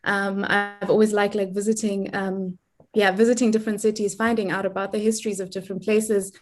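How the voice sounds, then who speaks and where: Young woman, from Zimbabwe, informal guest talk, halting, thinking aloud, mid-range pitch. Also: little pitch movement. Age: young adult. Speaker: guest, community organizer. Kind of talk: informal self-introduction in an online discussion.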